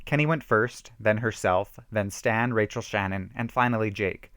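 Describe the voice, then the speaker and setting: A man's voice: on the low side, fairly even intonation, normal speed, fairly bright tone, no room sound. Dramatic amateur male story reader, dry sound. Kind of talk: amateur dramatic reading of a homemade horror story.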